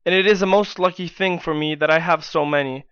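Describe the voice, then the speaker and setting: A man's voice: on the high side, a little fast, fairly bright tone, smooth, dry recording, dull audio, close to an everyday voice. A man playing an honest, kind-hearted, trusting little gardener, meek and eager, in an acted storybook reading. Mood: grateful. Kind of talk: acted reading of a fairy tale.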